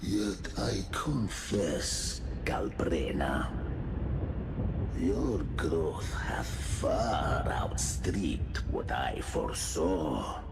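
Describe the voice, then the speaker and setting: An actor playing a grandiose, menacing villain in a video game, speaking in solemn, archaic, theatrical phrases with a gravelly, breathy edge. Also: male voice, deep voice, sing-song, very slow, background noise, heavily acted. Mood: solemn menace. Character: grandiose menacing villain, an architect of fate, courting recruits. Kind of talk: scripted video game cutscene acting.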